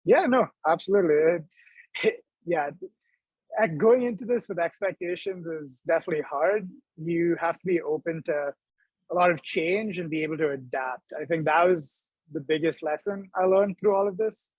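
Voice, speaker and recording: A young man from Dubai, a startup co-founder, talking quickly and animatedly as the guest in a video-call interview. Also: on the high side, breathy edge, no room sound, low-quality recording. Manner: quick, animated, laughs between thoughts. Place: indoor room.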